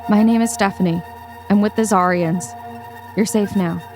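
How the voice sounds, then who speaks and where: A woman's voice: mid-range voice, steady tone, normal speed, a little mellow, soft background music, close to an everyday voice. A woman acting in a satirical dystopian adventure audio play. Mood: soothing.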